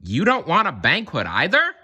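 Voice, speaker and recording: Man doing an anxious, high-lifted polite rabbit. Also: huge pitch swings, moderate pace, bright, forceful tone, breathy edge, full character voice. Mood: polite, flustered.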